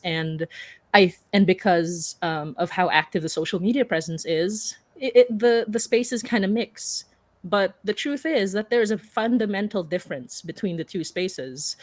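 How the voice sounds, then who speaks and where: A woman's voice: fairly low pitch, darkish tone, no echo. A woman speaking as a panelist in a casual discussion, earnest and informal in her way of talking.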